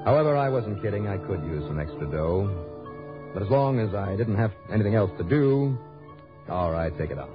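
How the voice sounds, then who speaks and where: A man playing a sardonic freelance investigator from an old radio detective show, with a low voice and a money-minded, wry way of talking. The recording is dull and vintage, with music around it. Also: expressive, normal speed, lightly acted. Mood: dry narration. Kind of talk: 1950s radio detective drama acting, first-person narration.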